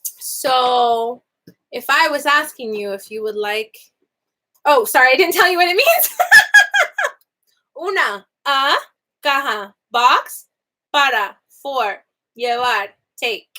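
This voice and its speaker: Woman, young adult, online teacher, chatty, laughing, unhurried, clear high voice. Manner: chatty, animated, laughs often, swoops between high and low. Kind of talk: casual live class teaching Spanish phrases.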